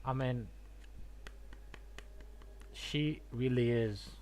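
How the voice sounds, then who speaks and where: A man live streaming a game and chatting casually, halting and often repeating his words. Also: medium pitch, darkish tone. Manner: halting, repetitive. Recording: faint background noise.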